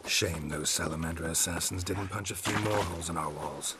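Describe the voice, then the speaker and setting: An actor playing a stoic, gruff male monster hunter in a fantasy game, with a low gravelly voice and a dry, laconic manner. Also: brisk, noisy background, natural voice. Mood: calm, wry. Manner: laconic, deadpan. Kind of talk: video game acting.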